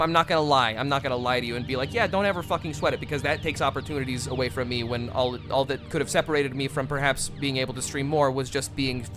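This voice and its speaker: A man streaming a game and chatting candidly with his viewers, rambling with restarts and swearing. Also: on the high side. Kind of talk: casual livestream chat with viewers.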